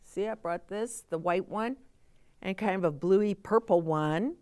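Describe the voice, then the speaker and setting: A woman's voice: medium pitch, a little slow, a little mellow, no echo. A middle-aged woman reading a children's story aloud, expressively, with chatty asides explained simply as if to young children.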